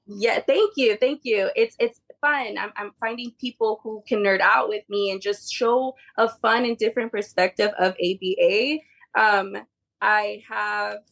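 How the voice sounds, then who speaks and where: A woman's voice: medium pitch, steady tone, unhurried, vocal fry, a bit bright. A woman whose first language is Spanish, chatting informally as a podcast guest about her work. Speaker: podcast guest, behavior therapy tutor. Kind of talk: casual conversational interview.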